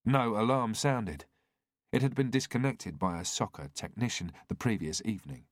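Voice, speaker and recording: A man's voice: fairly low pitch, normal speed, dry recording, clean studio sound. A man reading a scripted thriller as an audiobook narrator.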